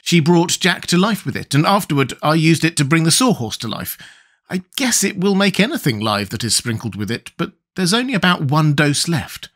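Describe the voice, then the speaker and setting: Man voicing a plain-spoken, higher-pitched boy. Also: very animated, moderate pace, fairly bright tone. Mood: explaining. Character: brisk, practical, plain-spoken young boy. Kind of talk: children's storybook character voice.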